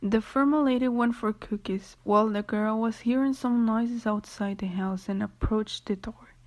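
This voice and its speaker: A woman's voice: on the high side, moderate pace, a little mellow. A woman giving scripted storytelling narration for a radio drama.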